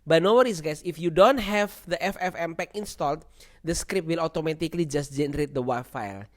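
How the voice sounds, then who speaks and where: A man who speaks English as a second language presents an informal software walkthrough, repeating himself and often checking in with the listener. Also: a little high, a little fast. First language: not English. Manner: repetitive, seeks agreement with "okay" and "right". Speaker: tech tutorial presenter.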